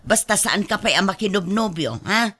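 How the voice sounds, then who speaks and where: A woman plays a caring Ilocano mother in a radio drama. Her voice is bright and forceful, a touch low for a woman, and she coaxes and admonishes as a middle-aged parent would. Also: animated, normal speed, breathy edge.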